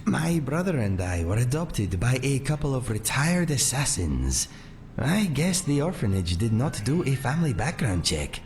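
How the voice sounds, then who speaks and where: A man playing a gentle, courteous cat-folk sellsword in a fantasy game, speaking in measured, formal phrases without contractions. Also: mid-range voice, sing-song. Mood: wry, reminiscing. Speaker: companion character in a fantasy video game. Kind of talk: scripted game dialogue. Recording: faint music under the voice.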